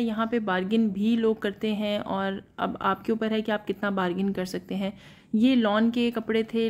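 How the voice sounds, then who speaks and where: A woman narrating a shopping vlog in a relaxed, chatty way, often pausing on filler sounds and slipping in English phrases. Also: medium pitch. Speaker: shopping vlogger.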